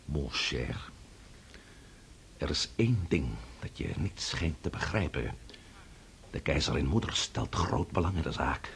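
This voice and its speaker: A man's voice: rumbling low, animated, fairly bright tone, a little hiss or hum, exaggerated voice. A male actor playing an older scheming prince and father in a radio drama, coaxing and flustered, stumbling over repeated words. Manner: halting, repeats words, coaxing with questioning hums.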